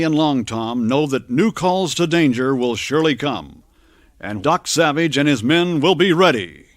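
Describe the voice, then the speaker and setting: A man's voice: mid-range voice, no room sound, clean studio sound, close to an everyday voice. A man narrating and announcing a pulp radio serial in a dramatic, scripted old-time style. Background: music.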